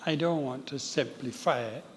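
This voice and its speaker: A man on a webinar panel speaking deliberately, making his point with a plain analogy. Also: medium pitch, a little hiss or hum. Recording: reverberant room.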